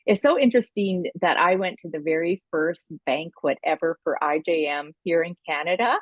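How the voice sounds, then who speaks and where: A woman podcast host introduces a guest conversationally. Her voice is fairly low, and the recording is very dry and a little dull. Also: moderate pace, no echo.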